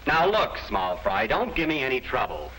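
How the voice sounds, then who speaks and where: An actor playing a cocky, teasing American big brother, slangy and wisecracking, in a 1950s sitcom-style film. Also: male voice, mid-range voice, wildly swooping pitch, moderate pace, pushed and bright. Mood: exasperated. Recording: noisy vintage 1950s film soundtrack.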